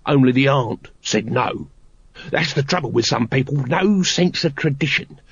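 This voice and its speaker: A man's voice: medium pitch, animated, moderate pace, husky, no echo, lo-fi sound. A man voicing a wheedling, whiny male street sausage seller in a storybook reading, with sing-song sales patter. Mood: hawking.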